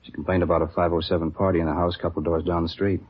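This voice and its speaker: A man's voice: deep voice, flat delivery, very fast, slight background noise, natural voice. A man playing a plain-spoken, guarded beat patrol officer in a 1950s radio drama, talking in casual, matter-of-fact police slang. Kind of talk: 1950s radio acting, conversational dialogue. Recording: dull, lo-fi old radio recording.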